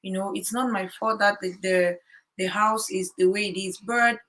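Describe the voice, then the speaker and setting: A woman's voice: medium pitch, steady tone, normal speed, a bit bright, low-quality recording. A woman talking casually about life as a new mother, chatty with many fillers and repeated words. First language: English. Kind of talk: casual chat. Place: home in Korea.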